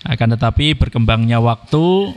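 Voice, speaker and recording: A man talks politely and at an even pace as a podcast guest. His voice sits in a middle pitch and carries a long, echoing reverb from the room. He fills his pauses with "eh" and "ya.". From Indonesia. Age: 30s. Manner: measured and polite, frequent "eh" and "ya" fillers. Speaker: podcast guest, Quran reciter. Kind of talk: casual podcast conversation.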